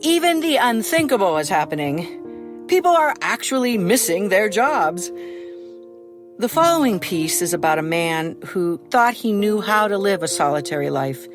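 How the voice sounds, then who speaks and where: A woman reading a scripted introduction to an audio drama as its author, in a measured, earnest way. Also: medium pitch, sing-song. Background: instrumental music.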